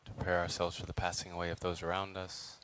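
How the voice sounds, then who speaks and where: A man leading a guided meditation as a Buddhist teacher, speaking in measured phrases with long pauses between them. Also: deep voice, completely flat delivery, fairly bright tone, clear, resonant tone.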